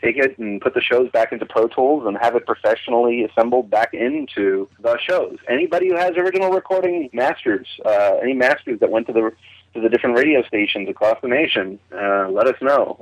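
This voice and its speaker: A man's voice: mid-range voice, fairly quick, no room sound. A man in a casual, enthusiastic discussion, emphatic and opinionated, as a guest expert. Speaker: guest audio drama expert. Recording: muffled telephone-like call.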